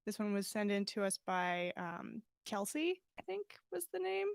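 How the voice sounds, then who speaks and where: A woman's voice: medium pitch, big pitch swings, moderate pace, gravelly, dry recording, clean studio sound. A woman hosting a livestream, chatting casually and reading fan fiction aloud in a bubbly, self-deprecating way. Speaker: podcast host and story reader.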